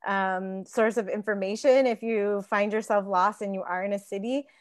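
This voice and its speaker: A woman's voice: mid-range voice, fairly even intonation, moderate pace, dry recording. A woman in her forties with a mid-range voice, chatting casually as a guest guide. She is on an earphone mic, and the sound is dry with little room in it. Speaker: tour guide, panel guest. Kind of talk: casual conversational storytelling and advice. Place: living room couch, indoors. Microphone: wired earphone mic on a video call.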